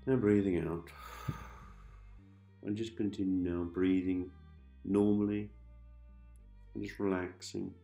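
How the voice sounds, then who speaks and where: A man guiding a sleep hypnosis session in a calm, gentle manner, giving repetitive soothing instructions with pauses. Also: low voice, creaky, echoey room, faint music behind. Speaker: hypnosis host, meditation guide.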